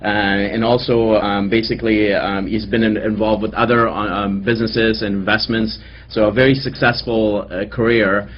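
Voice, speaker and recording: A male TV host introduces his guest in a husky, fairly low voice. He leans on fillers and pauses as he goes. The recording sounds dull and lo-fi. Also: level tone. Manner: filler-heavy, hesitant. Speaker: TV show host.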